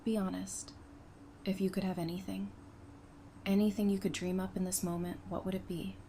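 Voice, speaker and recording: Actress doing a playful early 30s woman, teasing but affectionate, mid pitch, some noise behind her. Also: little pitch movement, normal speed, mellow, natural voice. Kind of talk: audio drama acting.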